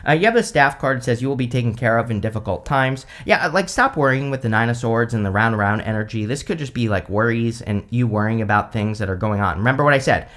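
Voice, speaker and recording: A man with a mid-range voice talks loosely through a tarot spread. He speaks to the camera in a relaxed, conversational way. His sentences wander and restart, full of little fillers and easy laughs. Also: medium pitch, fast talker, few pauses. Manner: chatty and rambling, full of "like" and "you know", with light laughs. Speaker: tarot reader, channel host. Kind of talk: casual tarot reading to camera.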